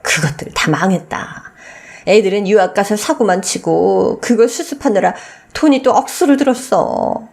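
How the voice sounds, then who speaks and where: A woman voicing a shameless, scornful old mother-in-law who wheedles and pleads with emphatic, drawn-out words in a radio story. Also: mid-range voice, gravelly, darkish tone, close to an everyday voice. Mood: desperate pleading. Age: older.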